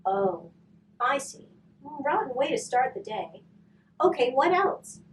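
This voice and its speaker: A kindly old storybook grandfather, played by a woman, speaks with gentle, coaxing sympathy. The pitch swings freely, and the recording is roomy with faint noise behind it. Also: big pitch swings, takes it slowly, recorded from a distance, full character voice. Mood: sympathetic. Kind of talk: children's storybook character voice.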